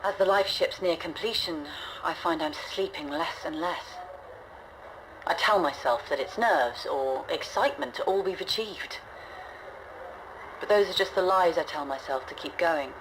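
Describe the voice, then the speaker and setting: Woman acting as weary ship's leader, low female voice, measured and grave, sci-fi game audio log, faint noisy ambience behind. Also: natural voice. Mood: weary, burdened. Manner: measured, grave, reflective delivery. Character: weary, resolute woman leader of a stranded generation ship.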